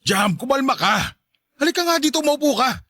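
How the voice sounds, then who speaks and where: Radio drama: exasperated peacemaking dad, pleading, lively intonation, high male pitch, dry sound. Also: moderate pace, no room sound, full character voice. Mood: placating. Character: exasperated father pleading for calm between wife and daughter. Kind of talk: Tagalog radio drama acting.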